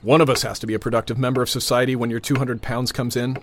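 A man's voice: medium pitch, level tone, fast, noisy background, lightly acted. A man playing a teasing, cheeky grown son in an audio drama, wry and mock-grand in his ribbing.

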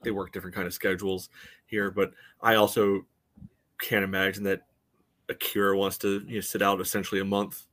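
An American man co-hosting a soccer podcast, talking casually and analytically in a rambling, halting way. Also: deep voice, flat delivery, fairly bright tone. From United States. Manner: rambling, hesitant, frequent "you know". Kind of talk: casual chat, match analysis.

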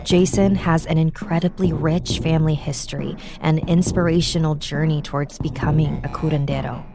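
Female podcast host, very low voice, reads her intro over clear background music. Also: fairly even intonation, normal speed. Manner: cozy, theatrical delivery. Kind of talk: scripted podcast intro narration.

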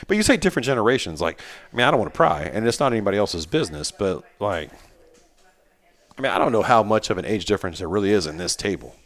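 Man in his forties, very low voice, lively swooping delivery, rambling, joking podcast guest. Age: 40s. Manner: rambling, joking, self-mocking. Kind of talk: casual chat.

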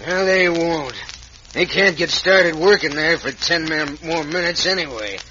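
A callous frontier villain talks in a folksy Western American accent. The voice is slightly high and cutting, on a faintly hissy old radio western. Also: male voice, a little slow, sharp tone, muffled recording. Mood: sly. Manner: folksy drawled menace, ungrammatical. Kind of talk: 1950s radio western acting.

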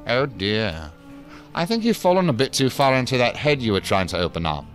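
A man's voice: medium pitch, sing-song, a little fast, faint music behind, lightly acted. An actor playing a jaded, condescending male professor in a science fiction audio drama, wordy and dryly sardonic. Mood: dry, amused.

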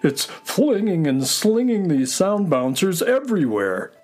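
A gentle, courteous space horse played by a man in a children's audio story. His delivery swings widely and expressively, with the friendliness of an old pal. Music plays softly underneath. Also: high voice, sing-song, measured pace, heavily acted. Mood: apologetic, polite.

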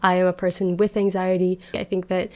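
A woman's voice: fairly low pitch, flat delivery, brisk, warm tone. A woman in her twenties hosting a solo podcast, talking through life advice in an earnest, chatty and reflective way into a podcast microphone. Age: 20s. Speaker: podcast host.

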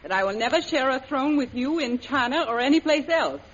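An actress playing a defiant, mocking femme fatale in a 1930s-style radio serial, on a muffled old recording. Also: female voice, high voice, lively intonation, a little fast. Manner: mocking, bold. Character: defiant, sardonic femme fatale standing up to villain.

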